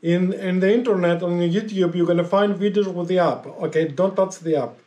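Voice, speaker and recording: A man giving a casual hands-on tutorial as a vlogger, explaining step by step in a halting, repetitive way. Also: sits high, dark tone.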